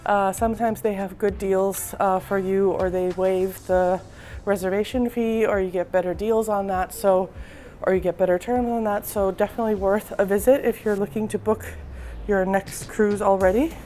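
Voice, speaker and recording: A woman with a husky voice from a slightly sore throat, giving a relaxed walking tour of a cruise ship with frequent ums. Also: mid-range voice, moderate pace, slight background noise. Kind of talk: casual walking tour narration. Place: indoors, moving through a cruise ship.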